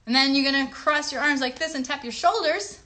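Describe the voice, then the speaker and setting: A woman's voice: sits high, fairly quick, sharp tone. A woman hosting a children's show, giving playful, encouraging instructions straight to young viewers. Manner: encouraging, talks directly to children. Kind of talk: playful instruction and singalong for kids. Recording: clear background noise on livestream.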